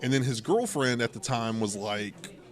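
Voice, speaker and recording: Middle aged american guy, regular pitch, chatty podcast storyteller, goes off on tangents. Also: normal speed. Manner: conversational, digressive, frequent asides. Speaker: podcast host. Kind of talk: casual storytelling and banter. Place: local game store.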